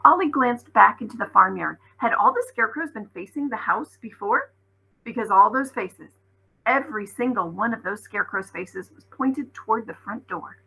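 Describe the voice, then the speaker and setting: A woman's voice: fairly high pitch, animated, normal speed, vocal fry, pushed and bright, breathy edge, rough recording. A woman, a teacher reading aloud, narrating a children's story expressively.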